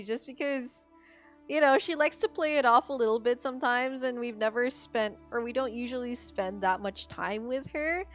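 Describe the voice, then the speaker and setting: A young woman with a fairly high voice talks casually to her livestream viewers. She is chatty and rambles, circling back over her phrases as she explains things. Faint music plays behind her. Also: fairly bright tone, no room sound, studio quality. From Philippines. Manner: chatty, rambling, filler-heavy with frequent "like". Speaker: game streamer.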